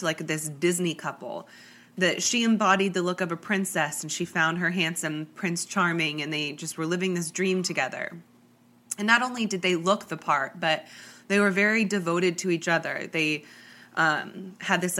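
A woman's voice: lowish voice, bright, forceful tone. Congested woman hosting a podcast. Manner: chatty, lots of likes and ums. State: congested with a cold. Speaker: podcast host. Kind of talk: true crime podcast storytelling.

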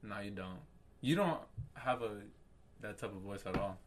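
A man co-hosting a podcast in casual chat, easygoing and thinking out loud. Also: on the low side, animated, normal speed, background noise. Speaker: podcast co-host.

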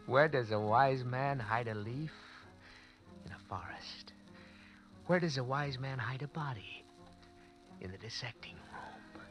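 A nervous guilty guy narrating a radio murder story, whispery and tense, bright tone, faint music. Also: fairly low pitch. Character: nervous young man narrating his crime, guilty and tense. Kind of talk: 1940s radio acting, first-person narration. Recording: old radio drama with music underneath.

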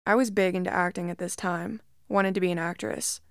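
Calm woman narrating a creepy story. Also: lowish voice, brisk, smooth, no room sound, studio quality. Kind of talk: reading a first-person creepy story.

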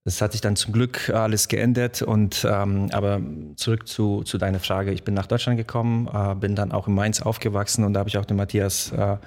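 A man's voice: fairly low pitch, flat delivery, fairly quick, hardly any pauses, a little mellow. A man born in Poland, a guest musician in a studio podcast, talking casually and hesitantly with many fillers. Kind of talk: casual interview talk.

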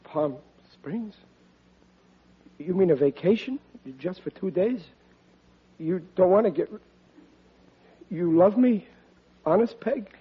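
A man's voice: sits high, lively intonation, takes it slowly, long pauses, husky, heavily acted. An American actor playing a blustering, stammering, childish working-class husband and father in a 1940s radio sitcom. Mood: touched. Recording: dull lo-fi 1940s radio recording.

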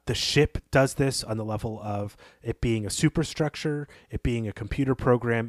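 A man co-hosting a podcast, talking through a story in a thoughtful, analytical way with frequent pauses. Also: mid-range voice, no echo, studio quality. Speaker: podcast co-host. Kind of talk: literary discussion podcast.

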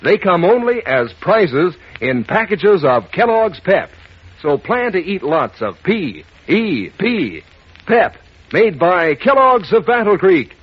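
An American man delivering a folksy, enthusiastic 1940s radio advertising pitch. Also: big pitch swings, slow pace, breathy edge. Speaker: commercial announcer. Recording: muffled old radio broadcast.

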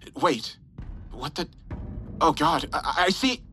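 A man's voice: on the high side, very animated, slow, bright, forceful tone, faint music behind, voice pushed up, heavily acted. A man voicing a frightened male crew engineer, stammering and breaking off in a horror story. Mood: panicked. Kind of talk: horror story character dialogue. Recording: clearly noisy background.

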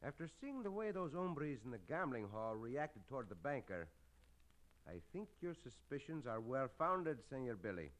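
An actor playing a gallant, self-assured Mexican adventurer with a Mexican accent and courtly, formal phrasing in a radio western. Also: male voice, medium pitch, big pitch swings, moderate pace, a bit bright, rough recording. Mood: composed, firm. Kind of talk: 1950s radio western acting.

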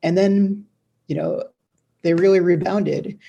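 A man giving conversational news analysis as an editor, speaking haltingly and often repeating words mid-sentence. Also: high-pitched, fairly even intonation, gravelly, mellow, breathy edge, dull audio. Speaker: news editor and guest commentator.

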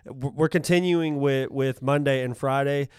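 A man's voice: medium pitch, fairly even intonation, moderate pace, darkish tone, smooth, no room sound, studio quality. A man co-hosting a casual podcast, talking in a rambling way and rephrasing himself as he goes. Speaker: podcast co-host.

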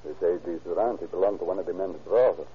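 A man playing a cautious, polite Mexican deputy in a radio drama, speaking halting English with a Mexican accent. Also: low voice, close to an everyday voice. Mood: cautious, reluctant. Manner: halting broken English, deferential. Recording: dull vintage radio recording.

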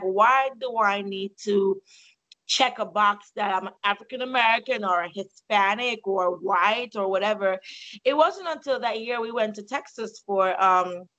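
A woman's voice: fairly high pitch, lo-fi sound. A woman from Jamaica, telling a personal story in a casual chat with friends. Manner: storytelling, expressive. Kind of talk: casual group discussion.

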